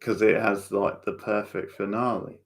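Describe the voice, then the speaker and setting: Male watchalong host, low and creaky voice, casual and opinionated, talking directly to viewers. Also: level tone, normal speed. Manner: opinionated, chatting back to viewers. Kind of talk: casual reactions and commentary on a TV show.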